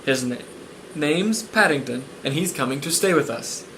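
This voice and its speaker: A man's voice: on the high side, lively intonation, normal speed, fairly bright tone, a little hiss or hum, low-quality recording. A man reading aloud and voicing a kind young girl reassuring a little bear in a storybook.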